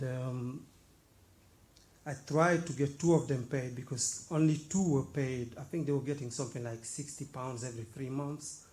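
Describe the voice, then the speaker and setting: A man from Senegal with a Senegalese accent, giving a measured, halting personal account as an audience member. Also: medium pitch, mellow. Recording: faint background noise.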